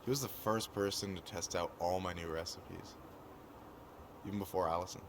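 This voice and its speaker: Lowish, young, joking, friendly, casual game dialogue, clearly noisy recording. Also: male voice, fairly even intonation, moderate pace. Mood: relaxed. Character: confident, joking young aspiring cook, friendly.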